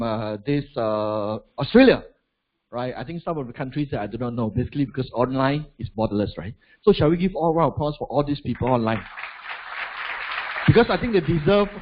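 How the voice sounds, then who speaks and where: Husky Malaysian man on stage. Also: mid-range voice, dull audio. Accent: Malaysian English. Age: 40s. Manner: chatty, keeps asking "right?", drops in "lah". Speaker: motivational speaker. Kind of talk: live seminar talk to an audience.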